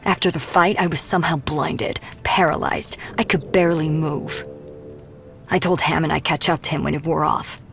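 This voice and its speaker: A woman's voice: deep voice, steady tone, normal speed, vocal fry. An actress voicing a tough, sardonic mutant woman in a video game, dry and self-assured. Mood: earnest. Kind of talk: video game character dialogue. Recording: voice under game music.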